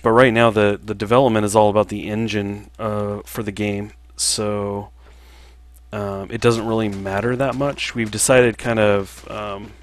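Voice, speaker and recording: Laid-back guy in his 30s streaming a game, kinda low voice, lots of ums and pauses. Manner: relaxed, halting, many fillers, answers viewer questions. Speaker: livestreaming gamer and game developer. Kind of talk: casual livestream chat while playing.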